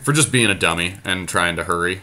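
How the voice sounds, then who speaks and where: Low-pitched man with a bright, forceful voice. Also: a little fast. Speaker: podcast host commentating on own card game. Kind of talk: casual gameplay commentary.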